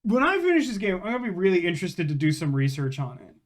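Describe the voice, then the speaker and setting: A man's voice: high voice, wildly swooping pitch, fast. A man chatting casually on a live game stream, rambling and emphatic, with slangy phrasing.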